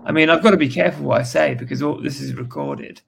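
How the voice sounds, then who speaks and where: English man, croaky gravelly voice, halting restarts, group voice chat. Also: mid-range voice. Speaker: Reddit moderator in a group voice chat. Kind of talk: casual group discussion.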